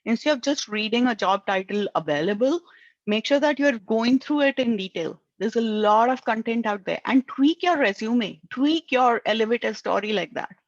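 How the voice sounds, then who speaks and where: A woman's voice: on the high side, lively intonation, moderate pace, no echo. A woman with a thick Indian accent coaches job seekers, speaking English as her second language. She keeps checking in with "right?" as she goes. The sound is dry and somewhat muffled. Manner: earnest, tags lines with "right?". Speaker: career coach. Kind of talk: advice talk.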